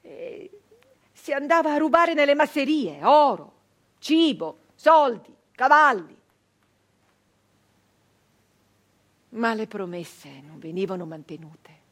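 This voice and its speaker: A wounded, embittered brigand woman of the 1800s in a stage monologue. Her voice is fairly high and husky. She speaks in short, halting, emphatic phrases, dwelling on repeated words with theatrical weight. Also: big pitch swings, a bit bright, heavily acted. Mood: bitter, forceful. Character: embittered, wounded 19th-century southern Italian brigand woman. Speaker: stage actress.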